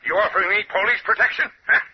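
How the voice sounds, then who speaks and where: Guy doing grumpy suspicious rich businessman, high voice, snappy, old muffled radio drama. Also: quick pace, close to an everyday voice. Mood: irritable, dismissive. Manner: brusque, barking, dismissive. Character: gruff, suspicious, irritable wealthy male financier. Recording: muffled, noisy vintage radio recording.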